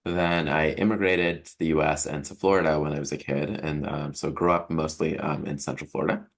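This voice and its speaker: A man in his thirties from Jamaica, a professor answering interview questions thoughtfully, with frequent chuckles and pauses. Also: rumbling low, little pitch movement, gravelly. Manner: thoughtful, chuckles often, many ums. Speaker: professor guest.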